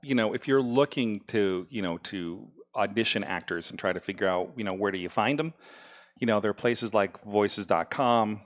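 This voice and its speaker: A man's voice: lowish voice, normal speed. A man, an author guest on a podcast, explaining audiobook production in a loose, chatty way. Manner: explanatory, many "you know" fillers. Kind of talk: casual podcast chat.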